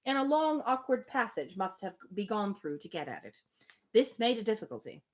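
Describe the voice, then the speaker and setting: A woman reads a period novel aloud to listeners. Her medium-pitched voice is very animated, moving easily between narration and casual comments. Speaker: live book reader. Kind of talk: expressive novel reading with casual asides.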